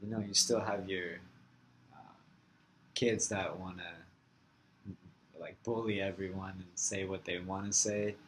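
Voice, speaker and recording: A young man with a somewhat low voice, from Florida, with Farsi as his first language. He answers interview questions casually and haltingly, with many "like" fillers. A faint hiss sits under his voice. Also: level tone, poor audio. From Florida, USA.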